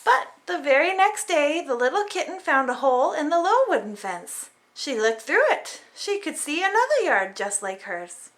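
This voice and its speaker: A woman reading a children's storybook aloud in an animated, dramatic way for small children. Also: high voice, moderate pace, few pauses. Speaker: storybook reader. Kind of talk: expressive children's read-aloud narration.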